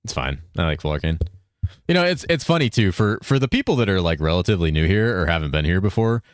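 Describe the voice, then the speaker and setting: A laid-back young man chats with his viewers while he plays, rambling and swearing easily. His voice is very low, though he keeps it a little above his natural depth. It sounds close and dry, with almost no room around it. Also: big pitch swings, quick pace, no echo. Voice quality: deep voice that he holds a bit higher than his natural one. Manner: laid-back, rambling, swears a lot, reads chat aloud. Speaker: game streamer. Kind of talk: casual live-stream chat while gaming.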